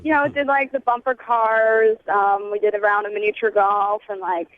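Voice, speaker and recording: A woman's voice: mid-range voice, steady tone, normal speed. A woman calling a radio show on a phone line, chatting casually in a hedging way full of filler words. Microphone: telephone.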